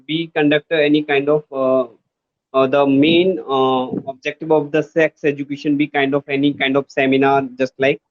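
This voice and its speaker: A man's voice: a little high, little pitch movement, normal speed, no room sound, highs cut off. A young man from India with an Indian accent, learning English and talking hesitantly with many fillers. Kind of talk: casual conversation lesson.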